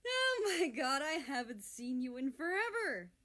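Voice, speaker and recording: A woman voicing an excitable, bashful young man in love in a story reading. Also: high-pitched, a little slow, pitched above the performer's own voice, full character voice. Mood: excited surprise.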